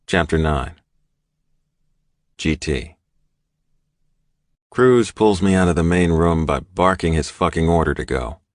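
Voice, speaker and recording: A man narrates first-person fiction for an audiobook. His voice is deep and his delivery slow, recorded dry with no room sound. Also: dry recording.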